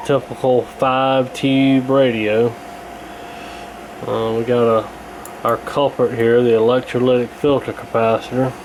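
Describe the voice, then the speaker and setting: A man presents a repair demonstration at a slow, halting pace. His manner is casual and matter-of-fact, with plenty of pauses. There is clear steady noise behind his voice. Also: medium pitch, poor audio. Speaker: radio repair hobbyist presenting.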